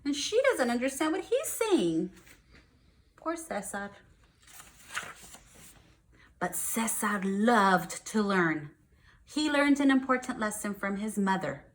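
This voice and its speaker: A woman with a medium-pitched voice speaks as a first-grade teacher. She explains things to young children in simple words, stressing the key ones, in the style of a read-aloud lesson. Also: very animated, slow pace, long pauses.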